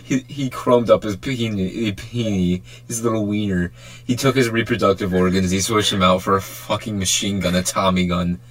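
A young man hosting a casual podcast, riffing excitedly and swearing as he jokes with friends. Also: fairly low pitch, normal speed. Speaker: podcast host. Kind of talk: casual joking group banter.

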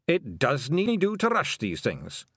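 A man's voice: on the high side, wildly swooping pitch, a little slow, a bit bright, husky, dry recording, clean studio sound, pitched above the performer's own voice, heavily acted. A man voicing a small, fierce, blustering Scottish pixie chief in an audiobook. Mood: relieved, cheerful. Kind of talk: audiobook character acting.

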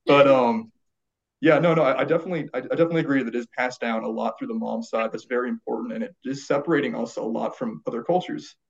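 A man co-hosting a podcast in casual chat, relaxed and rambling, with many fillers and restarts. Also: medium pitch, quick pace, vocal fry. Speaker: podcast co-host. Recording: dull lo-fi sound.

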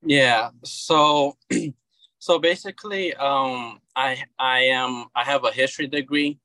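Bright-voiced Brazilian man, halting speech. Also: medium pitch, no echo, poor audio. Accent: Brazilian Portuguese. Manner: hesitant, many repeated words and restarts. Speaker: guest, comic book creator. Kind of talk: casual interview chat.

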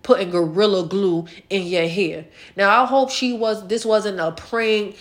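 A woman's voice: mid-range voice, fairly even intonation, measured pace. A woman in her late thirties hosting a casual online chat show, speaking bluntly and repeating phrases for emphasis. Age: late 30s, turning forty. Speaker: host of a live online show. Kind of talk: casual celebrity gossip and personal chat to followers.

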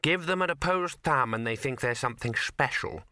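A snooty cat from a storybook, voiced by a man, sarcastic and sneering. The delivery is haughty, with a bright edge and a dry sound. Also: medium pitch, expressive, normal speed, no echo.

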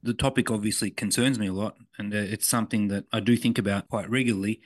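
A man speaking in an earnest, measured way as a podcast co-host in a conversational discussion. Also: lowish voice, steady tone, no room sound, clean studio sound.

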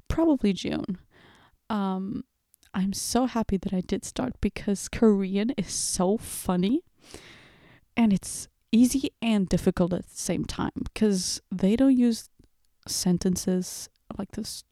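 Chatty young woman, mellow and dry. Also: medium pitch, dry recording. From Portugal. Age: 20s. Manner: chatty, self-mocking, laughs at own slips. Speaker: podcast host. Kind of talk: casual solo chat. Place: home room with bookshelf.

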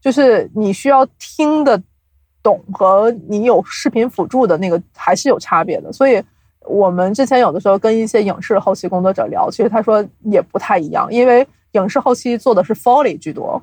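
A woman's voice: mid-range voice, expressive, a little fast, darkish tone, breathy edge, dry recording, poor audio. A woman speaking as an interview guest, explaining audio production in casual chat and mixing in English terms.

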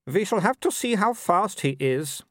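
A man voicing an arrogant, athletic young male werewolf noble who speaks with calm menace. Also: sits high, moderate pace, no echo, clean studio sound, voice pushed up. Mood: menacing.

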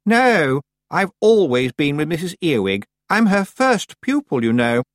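A man's voice: high-pitched, big pitch swings, normal speed, fairly bright tone, no echo, pitched above the performer's own voice. A man voicing a vain, pushy teenage girl witch in a storybook, with a haughty, emphatic manner. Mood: proud.

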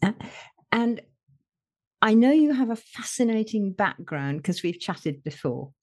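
Lively, effusive woman podcast host, voice swings up and down. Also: mid-range voice, a little slow. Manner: enthusiastic, effusive. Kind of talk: interview introduction.

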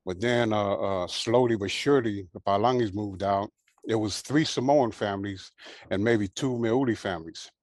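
A man in his sixties from Hawaii, raised in Southern California, telling his life story as a guest in a relaxed way, full of fillers. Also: on the low side, no room sound, clean studio sound. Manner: relaxed, unhurried, many fillers and "you know". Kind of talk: casual interview storytelling.

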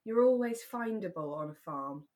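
A woman's voice: medium pitch, animated, normal speed, a little mellow. A British woman in her thirties chatting casually and animatedly as a podcast co-host. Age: 30s. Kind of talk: relaxed casual chat about a radio drama.

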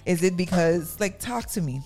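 Low-voiced woman, hesitant and stumbling. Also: normal speed, mellow, soft background music. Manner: hesitant, stumbles over words. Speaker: radio show co-host. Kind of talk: casual radio talk show discussion.

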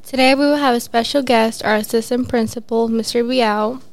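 Teen girl student hosting a school podcast, smooth clear voice, a bit high, reads her questions. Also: fairly even intonation, moderate pace. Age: teens. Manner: plain, scripted questions. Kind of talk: reading interview questions.

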